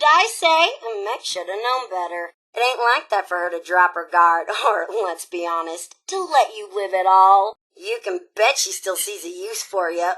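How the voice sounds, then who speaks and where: A woman's voice: medium pitch, sharp tone, low-quality recording. A woman playing a cheeky, amoral robot raider and radio host in a video game, sassy, sarcastic and slangy, with theatrical delivery. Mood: wry, teasing.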